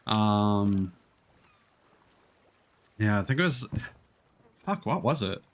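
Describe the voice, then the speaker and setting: A man's voice: on the low side, animated, takes it slowly. Rambling young man chatting on stream. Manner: rambling, scatterbrained, many fillers and restarts; casual, swears freely. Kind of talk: casual live-stream chat.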